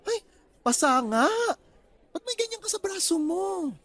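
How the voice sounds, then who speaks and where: A woman's voice: very high-pitched, big pitch swings, unhurried, a bit bright, no room sound, heavily acted. A woman playing a playful, effusive male best friend with a campy manner in a radio drama. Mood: concerned. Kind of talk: radio drama acting in Tagalog.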